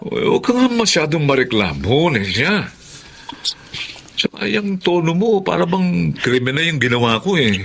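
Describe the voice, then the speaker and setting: An actor playing an irritable, compulsive middle-aged husband in a Filipino radio drama, with an agitated, insistent manner. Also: male voice, on the high side, big pitch swings, normal speed, gravelly, a bit bright, slightly rough, exaggerated voice. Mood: irritated. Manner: agitated, insistent, repeats himself.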